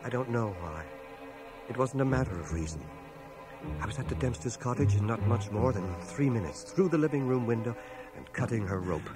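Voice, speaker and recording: An actor in a radio drama playing a reflective man narrating his small-town boyhood in a measured, expressive way. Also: mid-range voice, close to an everyday voice. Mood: reflective, tense. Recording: home cassette transfer, music underneath.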